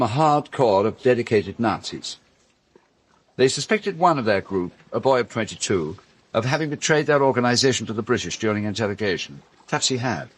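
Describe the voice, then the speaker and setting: An actor playing a grave man recounting a grim wartime story in a radio drama, with measured, deliberate phrasing and dramatic pauses. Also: mid-range voice.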